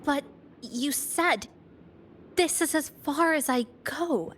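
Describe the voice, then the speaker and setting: Reserved young anime heroine, very slow. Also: female voice, sits high, fairly bright tone, slight background noise. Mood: puzzled. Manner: hesitant, understated. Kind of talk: anime game voice acting.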